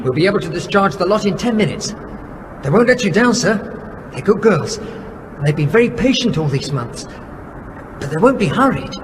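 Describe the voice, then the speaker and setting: A man's voice: high voice, expressive, moderate pace, long pauses. An actor playing an eager, deferential naval officer who fusses obsessively and fondly over his missiles in a radio drama. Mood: fond, serene. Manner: deferential, fussy, doting. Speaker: submarine weapons officer. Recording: noisy radio drama, long reverberant space.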